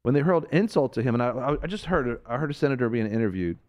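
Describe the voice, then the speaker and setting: A male radio preacher with a very lively, rising-and-falling voice. He drives his points with fervent emphasis, then drops into slow, hushed phrases. His pitch sits in the middle range for a man. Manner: fervent, emphatic, swings between loud and hushed. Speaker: radio show host and preacher.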